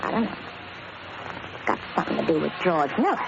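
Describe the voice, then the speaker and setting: Casting: American gun moll, inquisitive and clingy, very animated delivery, muffled vintage radio serial. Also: female voice, sits low, fast talker, vocal fry, heavily acted. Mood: curious. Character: nosy, slangy gangster's girlfriend, needling yet clingy. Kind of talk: old-time radio crime drama acting. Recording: noisy, muffled old radio recording.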